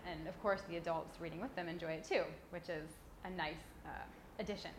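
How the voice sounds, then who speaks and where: A woman giving an informal, expressive and enthusiastic explanation as a book publishing expert presenting a picture book. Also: medium pitch, slight background noise, poor audio. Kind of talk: informal explanatory talk and storybook reading aloud.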